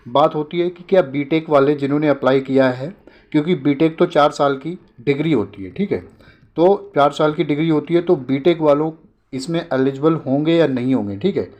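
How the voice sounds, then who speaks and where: Higher-pitched man explaining updates. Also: brisk, a little mellow. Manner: repetitive, asks short questions and answers them himself. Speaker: host of an exam updates channel. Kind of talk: informal explainer of exam and court news.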